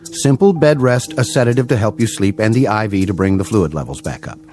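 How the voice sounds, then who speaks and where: An actor playing a calm doctor in a radio drama, reassuring and clinical in manner. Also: male voice, lowish voice, a little fast, faint music behind, lightly acted. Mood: firm, clinical. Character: calm, measured male doctor.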